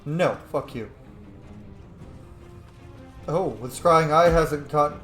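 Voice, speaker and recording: A man's voice: on the high side, extremely slow, fairly bright tone, echoey room, soft background music. A man giving casual let's-play commentary as a video host, playful and sassy and swearing freely.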